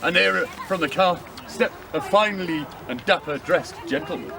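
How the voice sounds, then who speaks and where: A man telling a pirate story live to an audience outdoors, lively and playful with little hesitations. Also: high voice, normal speed, gravelly, a crowd in the background. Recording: outdoor street noise.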